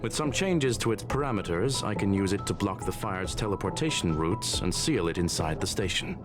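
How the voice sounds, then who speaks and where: A man's voice: low voice, animated, moderate pace. A man voicing a coolly logical genius scientist in a video game, with precise, formal, analytical speech. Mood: businesslike. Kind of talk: scripted video game voice acting. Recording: loud game music behind.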